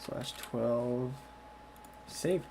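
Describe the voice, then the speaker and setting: A man's voice: medium pitch, drawn-out words, creaky, background noise. Slightly sick man hosting a livestream. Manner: loose and rambling, with many fillers. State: a little sick. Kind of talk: casual livestream chat.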